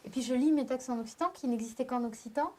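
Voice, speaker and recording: A young adult woman from southern France talks about her poetry at a live panel. Her voice is mellow and fairly high, and she pauses and restarts as she works out her thoughts. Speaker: poet and panel guest. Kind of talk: round-table discussion. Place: live public venue.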